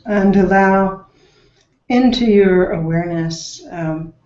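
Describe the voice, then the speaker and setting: A woman meditation teacher leading a guided practice in a measured way, with long pauses and gentle directives. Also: medium pitch. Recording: roomy echo, dull lo-fi sound.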